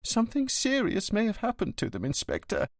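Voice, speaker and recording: A frightened, pleading son, as a male narrator voices him, pitched higher than the reader's own voice and lively in tone. He sounds anxious, his words near cracking. The sound is close and dry, as in a read-aloud crime story. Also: normal speed, no echo. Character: anxious worried grown son, middle-aged man. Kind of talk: audiobook character voice.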